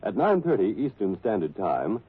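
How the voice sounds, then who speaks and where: A male 1940s radio announcer with a lively, swooping delivery and a rough-edged tone. He reads formally from a script, coming through a thin, tinny old broadcast recording. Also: on the low side, normal speed.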